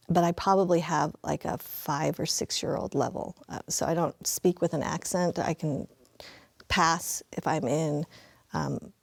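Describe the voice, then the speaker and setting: Low, gravelly-voiced woman on a panel. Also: dry recording. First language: Mandarin. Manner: reflective, speaks in halting restarts. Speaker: panel speaker. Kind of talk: panel discussion.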